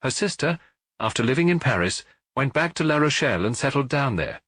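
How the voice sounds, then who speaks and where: A man's voice: mid-range voice, big pitch swings, fairly quick, fairly bright tone, husky, no echo, voice pushed up. A man voicing a middle-aged French detective who muses aloud and jokes dryly, in an audiobook. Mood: musing. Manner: musing, dryly joking.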